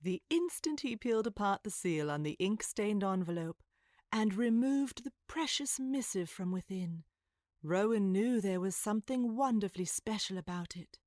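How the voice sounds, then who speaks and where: A woman narrating a children's story from a script, with expressive, literary phrasing. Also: mid-range voice, normal speed, no echo, studio quality. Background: music.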